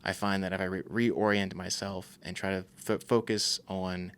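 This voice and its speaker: A man's voice: deep voice, flat delivery, moderate pace, gravelly, smooth. A young man co-hosting a podcast, speaking earnestly and thoughtfully about faith and habits, with frequent fillers. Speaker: podcast co-host. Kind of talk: casual faith talk.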